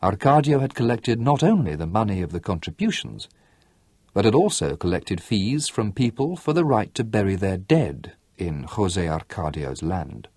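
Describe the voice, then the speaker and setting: Husky-voiced man reading a novel aloud. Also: lowish voice, sing-song, moderate pace, a little mellow, no echo, clean studio sound. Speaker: audiobook narrator. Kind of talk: scripted literary narration.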